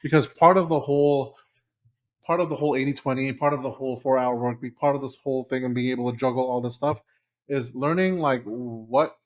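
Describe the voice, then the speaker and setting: A man's voice: medium pitch, fairly even intonation, fairly quick. A man answering interview questions in a loose, casual way, with frequent ums and you-knows. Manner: loose and conversational, with many fillers like um and you know. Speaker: guest, short-term rental company founder.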